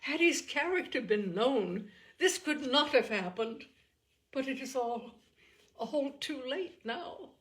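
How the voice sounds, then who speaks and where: A woman voicing an agitated young gentlewoman from a classic novel, breathless and near tears, with anguished emphasis in an audiobook reading. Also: high voice, animated, takes it slowly, pitched above the performer's own voice, full character voice. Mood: agitated, despairing. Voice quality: breathless, breaking voice.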